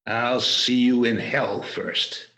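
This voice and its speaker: A man acts out a sour old miser of a radio play. The voice is bright and hard-edged, clipped with scorn. He cuts people off curtly, as a tightfisted man of business who has no use for good cheer. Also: mid-range voice, fairly even intonation, slow pace. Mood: curt, irritated. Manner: curt, scornful, cutting. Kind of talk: amateur radio play acting. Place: performed remotely over a video call. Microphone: video call.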